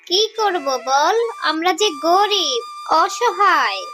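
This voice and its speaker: A woman's voice: extremely high pitch, takes it slowly, a little hiss or hum, heavily acted. A woman voicing a poor, plaintive, scolding fox mother in a children's cartoon. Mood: upset, helpless. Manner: plaintive, expressive.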